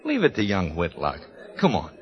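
An actor playing a brash, wisecracking ghost newspaper reporter in a 1940s radio drama, talking in quick newsman patter. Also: deep voice, wildly swooping pitch. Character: brash fast-talking male ghost sportswriter. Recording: muffled old radio broadcast recording.

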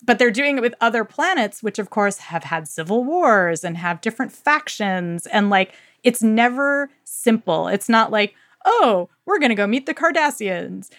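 A woman's voice: on the high side, sing-song, normal speed, hardly any pauses, a bit bright, dry recording, clean studio sound. A woman co-hosting a podcast, chatting casually and effusively in colloquial, slangy language as she recommends books. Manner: enthusiastic, effusive. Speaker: podcast co-host. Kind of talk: casual book recommendation chat.

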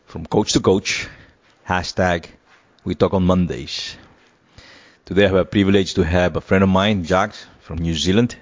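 A man hosting an interview podcast, asking halting, simply phrased questions. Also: sits low. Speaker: podcast host. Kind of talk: casual interview. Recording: dull lo-fi sound.

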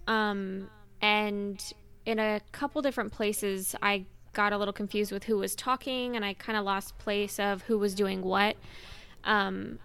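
A woman's voice: mid-range voice, gravelly, fairly bright tone, clean tone. A woman co-hosting a podcast, chatting casually as a fan in a discussion. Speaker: podcast co-host.

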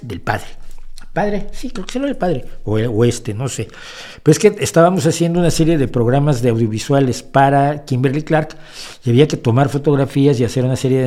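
A man's voice: mid-range voice, warm tone. A man hosting a show, answering viewer questions in a casual, rambling monologue full of restarts and emphatic opinions. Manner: rambling, with frequent restarts and self-corrections.

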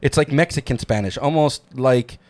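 A man's voice: mid-range voice, moderate pace, a little mellow. A man from New Jersey hosting a podcast, chatting eagerly and rambling through restarts. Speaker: podcast host. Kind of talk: casual podcast chat.

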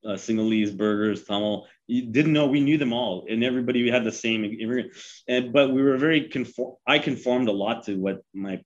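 A man's voice: mid-range voice, a little fast, lo-fi sound. A man in his forties, from Sri Lanka, giving advice in a casual interview, talking with many fillers and restarts. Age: 40s. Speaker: guest.

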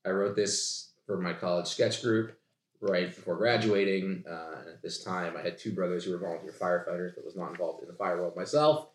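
A man's voice: sits low, steady tone, brisk. A man speaking casually as a comedy writer and podcast guest. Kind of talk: casual chat. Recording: roomy echo, indoor room.

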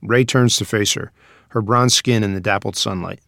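A man's voice: fairly low pitch, fairly even intonation, moderate pace, vocal fry, fairly bright tone, no echo, clean studio sound. A man reading stage directions as scripted narration for a screenplay.

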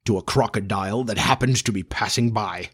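Man playing a menacing, slow-speaking pirate captain. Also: on the low side, husky. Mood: brooding dread. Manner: slow, dramatic, vengeful. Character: menacing, brooding pirate captain with a haunted dread.

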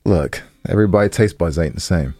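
Deep, quick-talking American man. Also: animated, dark tone, dry recording, studio quality, faint music behind. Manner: colloquial, teasing, repeats himself. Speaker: podcast co-host. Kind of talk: casual, bantering podcast chat.

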